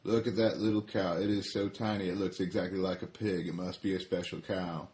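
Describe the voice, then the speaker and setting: A man voicing a greedy, bad farmer who speaks in flat, blunt statements. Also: low voice, brisk, natural voice. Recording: roomy echo.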